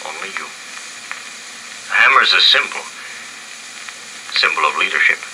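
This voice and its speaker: A man voicing a patient, reverent grown son who talks in soft, reassuring replies. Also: sits low, lively intonation, normal speed, slight background noise, full character voice, low-quality recording. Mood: tender. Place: basement.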